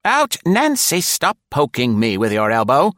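A man doing a shrill, acid-tongued woman. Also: wildly swooping pitch, measured pace, no echo, clean studio sound. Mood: indignant. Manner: sharp, acid, sulky. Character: shrill indignant woman, former secretary.

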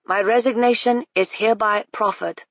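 A woman's voice: mid-range voice, steady tone, normal speed, fairly bright tone, dry recording, highs cut off, pitched above the performer's own voice. A woman voicing a nervous, humble male constable in his thirties who apologizes in a stiff, overly formal way.

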